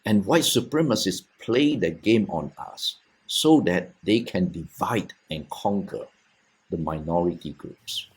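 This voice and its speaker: A middle-aged man from Malaysia, a theologian on a discussion podcast, talking in a halting way with frequent restarts and repeated words. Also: low voice, lively intonation. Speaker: theologian panelist. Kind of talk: thoughtful discussion on a video podcast.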